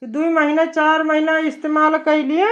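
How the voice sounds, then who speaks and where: A performer voicing an eager, bragging young village son-in-law in an Awadhi cartoon comedy, pleading and respectful, in a pitched-up voice. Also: flat delivery, normal speed, a bit bright. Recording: dull lo-fi cartoon soundtrack.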